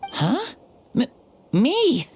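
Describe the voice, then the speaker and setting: A woman's voice: sits high, wildly swooping pitch, very slow, a little mellow, a little hiss or hum, full character voice. A woman voicing a timid, confused, dazed youngster in a video game. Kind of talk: video game character acting.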